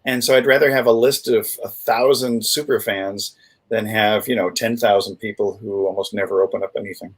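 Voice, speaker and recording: Husky-voiced American man chatting casually. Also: fairly low pitch, steady tone, a little fast. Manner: wry, jokey, fond of wordplay. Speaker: livestream co-host. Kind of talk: casual chat.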